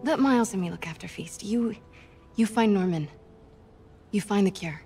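A woman's voice: medium pitch, moderate pace, dark tone, soft background music, close to an everyday voice. An actress playing a supportive, plucky young woman in a video game, direct and reassuring. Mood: tender, reassuring. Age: young adult. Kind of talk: cinematic video game acting.

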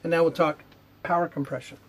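A man in his fifties giving an unhurried technical explanation to camera in a measured, matter-of-fact way, with a slightly husky voice. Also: a little high, fairly even intonation. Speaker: presenter, loudspeaker R&D manager. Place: indoor video studio with whiteboard.

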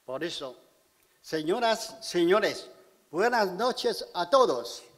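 A man in his sixties from China, speaking halting, simple Spanish with a Chinese accent in a public speech at a podium in a large auditorium. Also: high voice, sing-song, fairly bright tone. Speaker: community speaker at civic event. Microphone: podium microphone. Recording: hall reverb.

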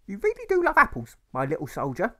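Man in high falsetto as a doting mother. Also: huge pitch swings, quick pace, sharp tone, heavily acted. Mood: doting, evasive. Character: evasive doting mother, sweet and soothing.

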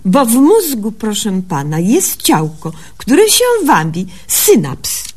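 A woman's voice: mid-range voice, wildly swooping pitch, takes it slowly, a little mellow, slightly rough, heavily acted. A woman playing a naive, self-assured young country doctor in a comic radio sketch, theatrical and mock-learned, with folksy slips. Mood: confidently explaining.

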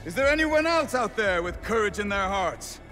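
A man's voice: sits high, lively intonation, normal speed, sharp tone, faint music behind. An actor playing a theatrical, polished showman illusionist with a grandiose manner in a video game. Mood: rousing, theatrical. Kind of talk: stage showman's pitch in a video game cutscene.

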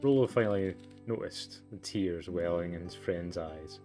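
A man reading as a formal, measured storybook narrator in a story game. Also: sits low, mellow, soft background music, lightly acted. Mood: measured, solemn. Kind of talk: scripted narration.

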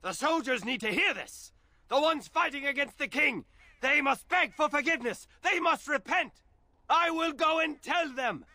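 A man's voice: very high voice, expressive, normal speed, sharp tone, no room sound, heavily acted. An actor playing a fervent, frantic young man who preaches repentance with urgent zeal. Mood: fervent, exalted.

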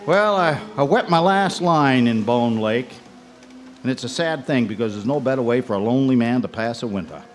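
A man's voice: mid-range voice, moderate pace, natural voice. An actor playing a lonely, wry Maine ice fisherman telling a ghostly tale in a live radio drama. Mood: wry, rueful. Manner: wry, folksy. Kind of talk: live radio drama first-person storytelling. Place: live on stage in an auditorium. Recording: noisy live stage recording.

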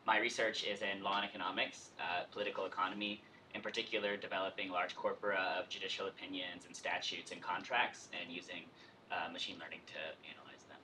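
A man's voice: deep voice, level tone, fast, pushed and bright, a little hiss or hum, poor audio. A man giving a terse, matter-of-fact self-introduction at a seminar table. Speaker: postdoc participant. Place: conference room around a table.

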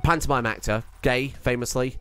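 A man's voice: mid-range voice, fairly even intonation, moderate pace, sharp tone, clean tone. A British man co-hosting a casual chat while playing a game, teasing and swearing playfully. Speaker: co-host. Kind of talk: casual chat over gameplay.

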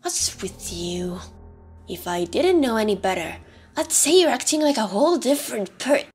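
A woman's voice: on the high side, big pitch swings, moderate pace, a little mellow, slightly rough, exaggerated voice. A woman voicing a brash, hot-tempered teenage monster girl in a dramatic comic dub, blunt and confrontational but caring underneath. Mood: suspicious. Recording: background music under the voice. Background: music.

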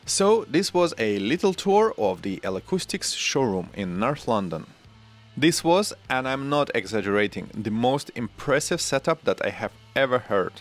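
A man in his forties presenting scripted tech narration in a measured, deliberate way, close on a studio microphone. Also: mid-range voice, sing-song, soft background music. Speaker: tech channel host. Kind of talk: scripted narration, product review. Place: small home studio room, indoors. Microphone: close broadcast microphone on arm.

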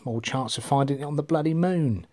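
A man's voice: medium pitch, a little fast, a little mellow. A middle-aged man rambling casually to his phone camera in a living room, swearing freely and trailing off. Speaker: vlogger. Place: living room with bookshelves, indoors. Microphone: phone camera held at arm's length.

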